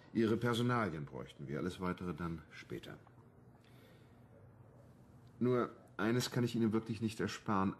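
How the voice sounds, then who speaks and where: An actor playing a matter-of-fact homicide inspector in a crime radio drama, measured, official and blunt. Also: male voice, low-pitched, a little mellow, slight background noise.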